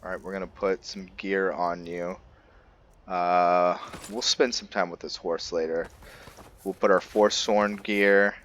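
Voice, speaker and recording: A man's voice: sits low, little pitch movement, unhurried, fairly bright tone, slight background noise. A man giving casual commentary as he plays a video game, musing aloud in a laid-back way. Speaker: host of a video game roleplay series. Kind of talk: casual let's-play commentary.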